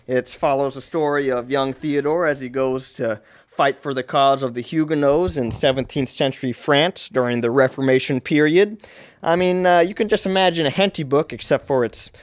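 A man's voice: mid-range voice, moderate pace. A young man giving casual, somewhat hesitant interview answers as an author. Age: young adult. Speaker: author interviewed about projects. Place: wooden barn or shed. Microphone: boom mic held near.

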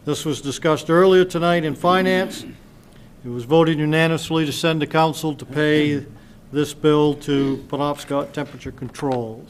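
A man chairing a town council meeting, reading agenda items briskly into a desk microphone in a council chamber. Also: on the high side, fairly even intonation, slight background noise.

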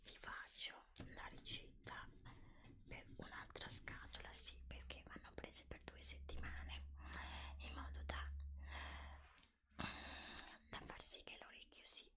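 A middle-aged woman in a soothing ASMR roleplay as a doctor, whispering breathily very close to the mic. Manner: soothing, unhurried, softly reassuring. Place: indoors, close-up in front of a dark backdrop. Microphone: desktop condenser mic with ear attachments, very close.

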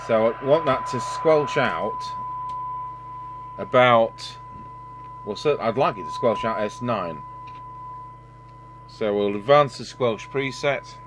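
A man's voice: medium pitch, lively intonation, slow, pauses often. A man giving an unscripted hands-on repair commentary as a technician, thinking aloud while he works. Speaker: radio repair technician and host. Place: indoor electronics workbench. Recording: noisy workbench recording with hum and radio hiss.

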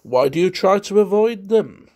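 A man voicing a grim, secretive collector in a storybook, speaking in a measured, hard, coldly courteous way. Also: sits high, very animated, gravelly, a little mellow, pitched above the performer's own voice, full character voice. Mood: grim probing. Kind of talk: dramatised storybook reading.

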